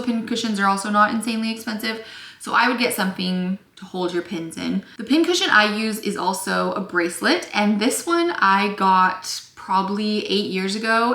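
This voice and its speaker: A young woman teaching sewing to camera in a chatty, casual way, a little scratchy-voiced and quick to apologize. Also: medium pitch, fairly bright tone. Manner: chatty, apologetic, lots of fillers. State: slightly scratchy voice. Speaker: sewing instructor. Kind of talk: casual tutorial talk to camera. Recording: echoey room.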